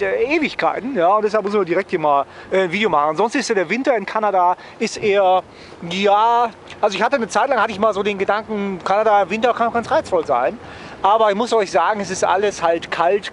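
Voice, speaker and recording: A man's voice: sits high, slight background noise. A man chatting casually to viewers as a travel vlogger, rambling and wryly joking, often tagging phrases with a questioning "ne?".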